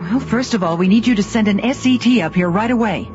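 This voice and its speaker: An actress playing a brisk, bitter female research director on a space station in a science fiction radio drama. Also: medium pitch, natural voice. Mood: grave, businesslike. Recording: muffled radio drama recording over music.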